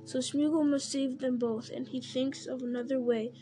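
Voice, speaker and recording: A woman's voice: high voice, steady tone, normal speed, warm tone, slight background noise, close to an everyday voice, rough recording. Smeagol, a wheedling wretched creature, as a woman puts him on. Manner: whining, pleading, speaks of himself by name. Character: wretched wheedling male creature, scrawny, fawning.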